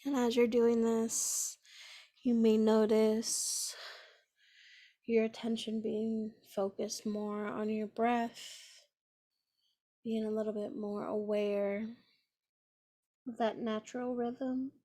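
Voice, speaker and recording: A woman leading a guided meditation in a gentle, soothing manner, pausing often between phrases. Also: a little high, little pitch movement. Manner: gentle, unhurried, with long pauses between phrases.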